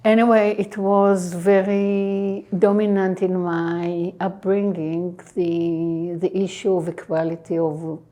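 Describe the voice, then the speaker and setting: A woman in her sixties speaks with an Israeli accent, low and mellow in tone, taking her time. She answers interview questions haltingly, pausing often and filling gaps with hesitant sounds. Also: level tone. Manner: slow, halting, many pauses and fillers. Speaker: guest, tech entrepreneur.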